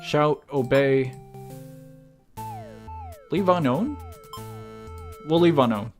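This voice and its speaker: A man's voice: fairly high pitch, expressive, very slow, soft background music. A man giving casual, slangy live commentary as he plays a game, muttering and reading text aloud. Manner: informal, slangy, muttering choices to himself. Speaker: game streamer.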